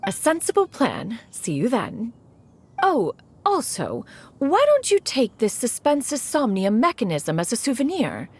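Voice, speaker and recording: A woman's voice: on the high side, huge pitch swings, unhurried, darkish tone, husky, exaggerated voice. A woman voicing an ancient crane spirit in a video game, speaking in an archaic, formal and stern way. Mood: composed. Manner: archaic, formal, refers to herself as one.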